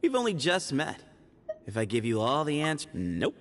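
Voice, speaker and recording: A man's voice: a little high, wildly swooping pitch, moderate pace. An actor playing an easygoing young desert man in a video game, casual, teasing and evasive. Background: game music.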